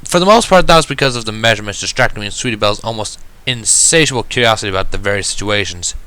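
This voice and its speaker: A man reading fan fiction aloud as expressive first-person story narration. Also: lowish voice, rapid-fire, smooth, dry recording.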